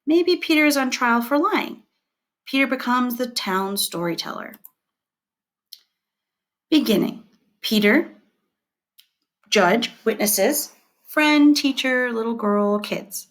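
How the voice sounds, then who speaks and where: A woman teacher reading scripted instructional material aloud, setting out headings and short points in a measured, deliberate way. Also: a little high, lively intonation.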